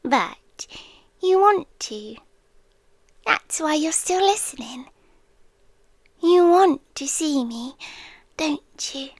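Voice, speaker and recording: A British woman playing an obsessive, teasing yandere girlfriend in a whispered, breathy ASMR roleplay with long pauses. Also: sits high, lively intonation, no room sound, heavily acted. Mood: coaxing.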